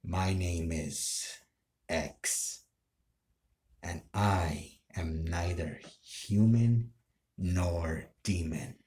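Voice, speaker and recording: A man playing a menacing, power-hungry anime villain who is neither human nor demon, speaking in a deliberate, ominous, taunting way. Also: low voice, a little mellow. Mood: ominous, boastful. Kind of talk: anime villain role play.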